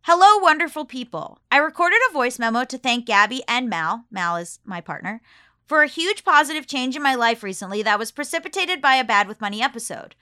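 Female podcast host, American, higher-pitched bright voice, informal and effusive, casual chat and mail reading. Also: lively intonation, a little fast, smooth, dry recording, clean studio sound.

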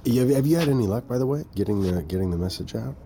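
An actor playing an anxious, protective middle-aged father and company man in an audio drama, with a halting, stammering delivery. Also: medium pitch, lively intonation, warm tone, lightly acted. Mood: troubled, pleading. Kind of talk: naturalistic audio drama acting.

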